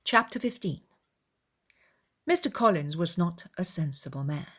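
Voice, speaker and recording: Low-pitched woman narrating a novel. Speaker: audiobook narrator. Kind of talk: dramatized literary narration.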